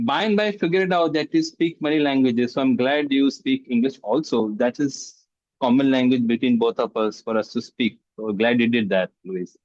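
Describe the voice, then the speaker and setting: A man hosting a casual interview, speaking haltingly and repeating phrases as he asks questions. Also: mid-range voice, darkish tone, no room sound. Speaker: interview host. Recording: dull, dry sound.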